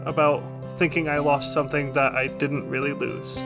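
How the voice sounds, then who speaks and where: A man's voice: a little high, little pitch movement, moderate pace, over music, voice pushed up, natural voice. A man voicing a hesitant, sentimental cowardly lion in a storybook fantasy game. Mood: halting, sincere.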